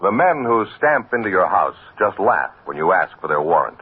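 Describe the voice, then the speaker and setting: American male announcer, husky, very animated intonation, solemn dramatic narration, thin old radio recording. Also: sits low, moderate pace, phone-quality sound. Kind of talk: 1950s scripted radio narration.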